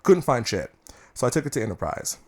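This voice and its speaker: Lively male co-host telling stories. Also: mid-range voice, fairly quick, husky. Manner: storytelling, profane, playful. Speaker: podcast co-host. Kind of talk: casual chat.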